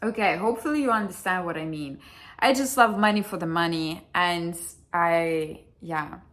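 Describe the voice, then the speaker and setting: A woman's voice: fairly low pitch, normal speed, a bit bright, slight background noise. A young woman with a Russian accent, speaking English as a second language in a blunt, chatty vlog, swearing freely and poking fun at herself. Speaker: vlogger. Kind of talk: casual chat to camera.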